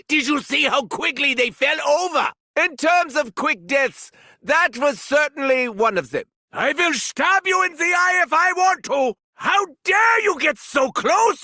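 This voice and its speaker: An actor playing a gleefully sadistic mad scientist doctor with a German accent, in a video game, gloating theatrically with cackling and shouting. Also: male voice, extremely high pitch, lively intonation, slow, hardly any pauses, no echo, clean studio sound, full character voice. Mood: manic. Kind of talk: video game character barks.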